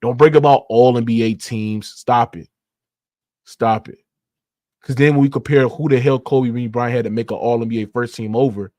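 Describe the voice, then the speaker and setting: A man's voice: fairly low pitch, a little mellow, dry recording. Guy hosting a sports debate, pushy and slangy, keeps hammering the same point, very dry audio. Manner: insistent, argumentative, repeats points, swears freely. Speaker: sports debate show host. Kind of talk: animated sports debate, slangy casual talk.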